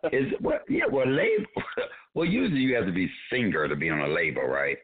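A man with a deep voice, a comedian guest joking and teasing in a radio chat. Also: huge pitch swings, a little fast. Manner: playful, teasing, laughs often. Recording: tinny phone line.

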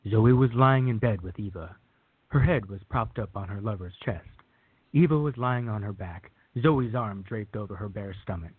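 A male narrator with a fairly low, husky voice tells a scripted story for radio theater. The recording is thin and narrow, like a telephone. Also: normal speed, no room sound. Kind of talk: scripted audio drama narration. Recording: thin phone-like band.

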